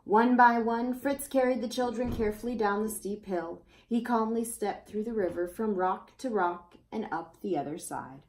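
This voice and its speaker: A woman's voice: mid-range voice, normal speed, hardly any pauses, a little hiss or hum. A woman reading a children's picture book aloud expressively, as storyteller to young listeners. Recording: roomy, echoey indoor sound.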